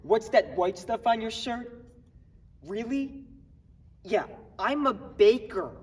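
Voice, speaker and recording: A man's voice: sits high, husky, full character voice. Young man acting a grieving, defiant man, echoey hall. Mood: defiant, sarcastic. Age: young adult. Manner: agitated, wry, halting, streetwise. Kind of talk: dramatic monologue at a speech contest. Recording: echoey hall, recorded from far off.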